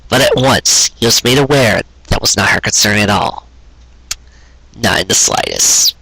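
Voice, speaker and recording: Bright, husky male storyteller. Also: mid-range voice, no echo, poor audio. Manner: earnest, dramatic storytelling delivery. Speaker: storyteller reading fan fiction aloud. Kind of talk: scripted narration of a sentimental romance story.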